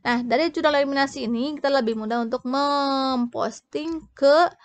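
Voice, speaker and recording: A woman's voice: high-pitched, no echo. A young woman giving an explanatory tutorial, often breaking off and restarting as she goes. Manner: halting, frequently breaking off and restarting. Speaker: accounting tutor presenting.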